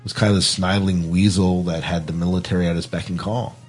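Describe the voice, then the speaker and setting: A man's voice: low-pitched, level tone, quick pace, a little mellow, husky, soft background music. A man hosting a podcast, casually discussing a film and often repeating phrases as he works out his point. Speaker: podcast host.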